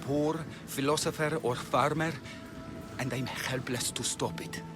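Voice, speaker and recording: An actor playing a compassionate, troubled physician of ancient Greece in a video game, speaking earnestly and gravely. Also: male voice, medium pitch, steady tone, unhurried, lightly acted. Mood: grave, sorrowful. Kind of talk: dramatic video game acting. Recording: music behind the voice.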